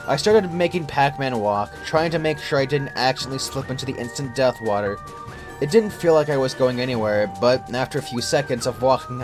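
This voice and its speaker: A young adult man reading a scripted first-person creepypasta as its narrator. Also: medium pitch. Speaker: horror story narrator.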